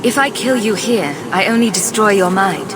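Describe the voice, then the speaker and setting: Casting: woman for a steadfast game heroine, medium pitch, earnest and compassionate delivery. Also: moderate pace, noisy background, natural voice. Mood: firm, earnest. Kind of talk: dramatic video game voice acting.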